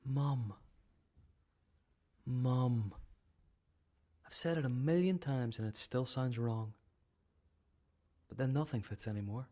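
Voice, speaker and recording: A young man with a medium-pitched voice, wounded and brooding. A male actor plays him as a son out of place, confiding in a radio play. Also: slow pace, long pauses, darkish tone, lightly acted. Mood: lost, brooding. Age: young adult. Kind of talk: radio drama monologue.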